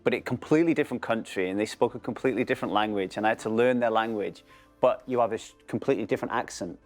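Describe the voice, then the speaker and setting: A man's voice: medium pitch, very fast, darkish tone. Middle aged english guy asking questions, chatty, goes off on his own stories, light music in the back. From England. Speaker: interviewer. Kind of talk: casual interview.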